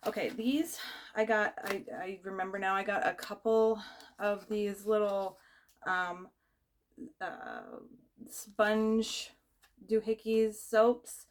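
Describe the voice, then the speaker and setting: A woman's voice: mid-range voice, little pitch movement, takes it slowly. A young woman with a cold chatting casually as she unboxes items, rambling with many fillers and restarts. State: has a cold, losing her voice. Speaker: vlogger.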